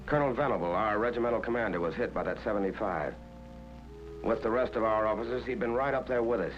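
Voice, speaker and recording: A man's voice: fairly low pitch, fast, close to an everyday voice. A man playing a plain-spoken American infantryman, narrating a 1940s war documentary in colloquial language. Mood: grim pride. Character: plain-spoken American infantryman recounting his first battle. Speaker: film narrator. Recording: dull 1940s film soundtrack, music behind. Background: loud music, artillery fire.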